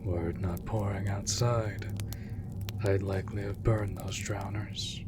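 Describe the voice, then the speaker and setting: A man playing a stoic but gentle young monster hunter in a roleplay audio drama, terse, measured and soft-spoken. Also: fairly low pitch, close to an everyday voice. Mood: quiet, reflective. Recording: steady rain noise throughout. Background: rain and cave ambience.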